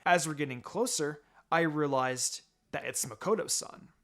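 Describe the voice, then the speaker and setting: A man reading fan fiction aloud as an expressive first-person storyteller. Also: a little high, normal speed.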